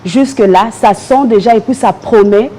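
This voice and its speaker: A woman from DR Congo with a Congolese French accent, answering as a party member in a political interview in a measured, hesitant way. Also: on the high side, dark tone, slightly rough.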